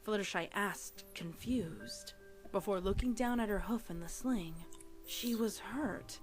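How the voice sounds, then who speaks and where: A woman's voice: medium pitch, a little slow, soft background music. A woman reading a scripted horror story as narrator, in a dramatic storytelling style.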